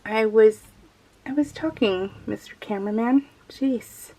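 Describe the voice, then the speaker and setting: A woman's voice: a little high, expressive, slow pace, a bit bright. A middle-aged American woman chatting casually and informally as she narrates her craft project, in a rambling, self-deprecating way. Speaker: crafting vlogger. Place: indoors at a craft table, voiced live while working.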